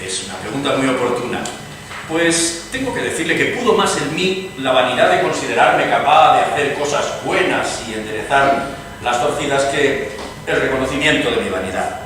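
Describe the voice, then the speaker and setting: A man playing an earnest liberal Spanish statesman in a dramatized reading, formal, courteous and measured. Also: medium pitch, animated, a bit bright, close to an everyday voice. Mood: reflective, courteous. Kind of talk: dramatized radio theatre reading. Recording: reverberant noisy hall, far from mic.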